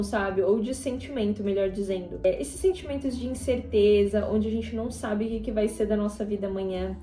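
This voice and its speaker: A woman's voice: medium pitch, mellow, echoey room. A Brazilian woman in her twenties chatting casually to her viewers while she paints, rambling with lots of fillers and tag questions. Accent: Brazilian Portuguese. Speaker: YouTuber.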